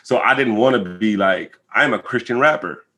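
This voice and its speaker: Earnest American man with a bright, forceful voice. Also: mid-range voice, moderate pace. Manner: earnest, emphatic, checks in with listener. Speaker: interview guest, rapper. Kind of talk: reflective conversational interview answers.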